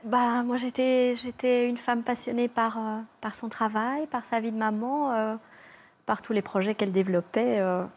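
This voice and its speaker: A woman, a guest on a television talk show, telling a personal story in a lively way, with frequent fillers. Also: on the high side, moderate pace. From Belgium. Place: television studio.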